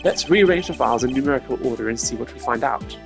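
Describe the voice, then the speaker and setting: A man voicing a cool, arrogant male prosecutor who talks in a measured, condescending, precise way, in a fan dub of a video game. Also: medium pitch, animated, over music, natural voice. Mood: analytical.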